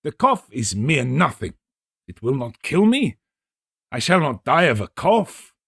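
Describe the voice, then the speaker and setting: Man playing a haughty tipsy nobleman, swooping high. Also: sing-song, unhurried, gravelly, husky, dry recording, studio quality, exaggerated voice. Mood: dismissive. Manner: exclamatory, haughty. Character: proud, tipsy Italian nobleman, boastful and dismissive. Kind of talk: dramatic audiobook character reading.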